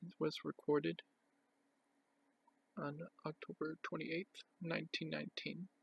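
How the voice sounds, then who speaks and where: A man presenting items from a collection in an unscripted show-and-tell, with a plain, halting, itemising delivery. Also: a little high, little pitch movement, vocal fry, breathy edge, no room sound.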